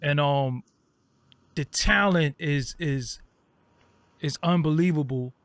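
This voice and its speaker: A man's voice: fairly high pitch, takes it slowly, a bit bright, no echo. A man hosting an online interview, chatting casually with lots of fillers and street slang. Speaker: host.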